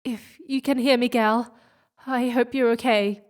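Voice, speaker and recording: A woman playing an earnest, shaken space explorer in a sci-fi horror audio drama, halting and emotional. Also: fairly high pitch, fairly even intonation, lightly acted. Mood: anxious, relieved.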